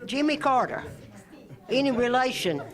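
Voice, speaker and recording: A woman's voice: sits high, expressive, a crowd in the background. A woman from Georgia, hosting a birthday gathering and chatting and joking as she asks people their names. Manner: chatty, teasing, quick to joke. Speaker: event host at a senior birthday gathering. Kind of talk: casual emcee chat, asking names.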